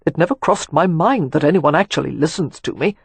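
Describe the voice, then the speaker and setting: A woman voicing a glib but earnest young gentleman with a firm, raised, clipped voice. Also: sits low, fairly quick, creaky, slightly rough, dry recording, heavily acted. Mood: protesting.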